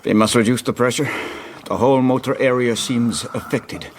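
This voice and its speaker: Grave male doctor, played by an actor, mid-pitched, commanding and urgent, radio drama with audible background noise. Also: fairly even intonation, normal speed, lightly acted. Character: grave, authoritative male doctor, vampire hunter.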